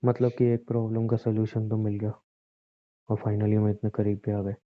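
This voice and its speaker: Man playing a low, even-voiced devoted husband. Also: normal speed, dry recording, lightly acted. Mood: tender, reassuring. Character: devoted, reassuring young husband, lightly bossy and teasing. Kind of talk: romantic phone-call style audio drama in Hindi. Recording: muffled, narrow phone-like audio.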